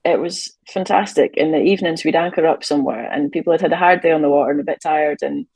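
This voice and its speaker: A young Shetland woman sailor chatting about music in a relaxed interview on a video call from a hotel room, with plenty of ums and easy laughs. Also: deep voice, quick pace, gravelly, husky, no room sound, low-quality recording. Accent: Shetland Scottish. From Shetland, Scotland. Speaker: sailor and fiddler, podcast guest. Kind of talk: casual interview chat.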